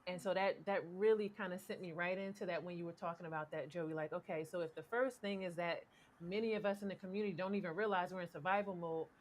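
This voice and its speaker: A woman's voice: lowish voice, fairly even intonation, quick pace, a little hiss or hum. A woman co-hosting a mindfulness talk show, reflecting in a rambling, thoughtful way with many restarts. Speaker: co-host. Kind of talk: casual conversational reflection.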